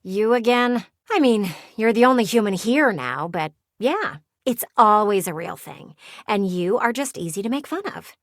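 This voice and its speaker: An actress voicing a playful, sardonic living window in a video game, with a teasing, theatrical and blunt delivery. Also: female voice, mid-range voice, expressive, no room sound, clean studio sound, close to an everyday voice. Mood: flirty, teasing. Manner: teasing, theatrical, blunt, sighs and drawn-out words. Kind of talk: video game character voice acting.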